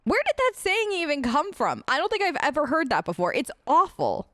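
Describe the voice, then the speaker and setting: A woman with a high voice chats her way through a role-play playthrough. She is sarcastic and conversational, recorded close and very dry. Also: huge pitch swings, a little fast, fairly bright tone, no room sound. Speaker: gaming video host. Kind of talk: improvised in-character role-play let's play.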